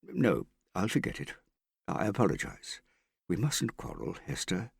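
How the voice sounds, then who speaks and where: A male narrator plays a vain middle-aged father with a gravelly, swooping voice. He talks in a pompous, affected way, wheedling and pitying himself like a character who performs every line in a storybook drama. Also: deep voice, huge pitch swings, measured pace, no room sound, studio quality, pitched above the performer's own voice, heavily acted. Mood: sulky, appealing. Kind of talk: audiobook character dialogue.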